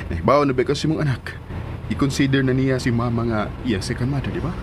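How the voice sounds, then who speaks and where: Lalaking gumaganap na mapanuyong manliligaw, katamtamang boses, maingay. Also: male voice, mid-range voice, fast, lightly acted. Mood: flirty, teasing. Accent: Cebuano. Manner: coaxing, insistent. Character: attentive, flirtatious male suitor, protective and reassuring. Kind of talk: Philippine radio drama acting. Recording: noisy, car sound behind.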